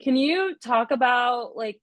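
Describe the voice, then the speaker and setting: A woman's voice: fairly high pitch, animated, measured pace, dry recording. A woman moderating a festival Q&A, chatty and casual, full of "like" and "right?".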